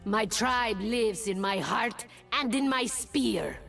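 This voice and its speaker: A woman playing a fierce, proud huntress and pack mother of a jungle tribe in a video game, speaking with a deliberate, commanding menace. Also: a little high, expressive, gravelly, music in the background, exaggerated voice. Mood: solemn. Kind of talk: video game character voice lines.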